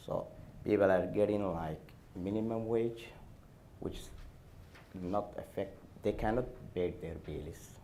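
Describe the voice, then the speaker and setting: A man from Somalia with a Somali accent, speaking haltingly on a panel as an airport worker. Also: deep voice, dark tone, a little hiss or hum. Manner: halting, non-native phrasing. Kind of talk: panel discussion answers.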